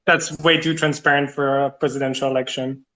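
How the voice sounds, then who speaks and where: A man's voice: medium pitch, little pitch movement, brisk, sharp tone. A man from Serbia hosting a casual online audio chat in a conversational, opinionated way. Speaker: host.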